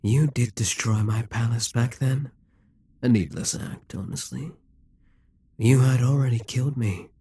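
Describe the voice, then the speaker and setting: A man playing a slow, menacing demon king. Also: mid-range voice, dark tone. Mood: gloating. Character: possessive, scheming male demon king. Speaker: audio roleplay voice actor. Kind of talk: scripted audio roleplay.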